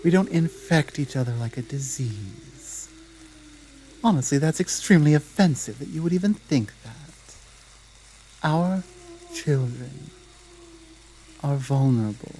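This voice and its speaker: A woman playing a dominant, menacing female werewolf pack leader in a slow roleplay ASMR drama, speaking deliberately and with taunting command. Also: rumbling low, animated, mellow, slight background noise, rough recording. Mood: indignant, protective.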